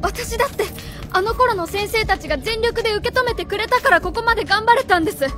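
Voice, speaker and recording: Radio drama schoolteacher, woman acting: earnest, flustered, emphatic, very high-pitched, over music. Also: fast. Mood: indignant, resolute. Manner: emphatic, stammering when challenged. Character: earnest, flustered female schoolteacher defending herself. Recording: music behind the voice.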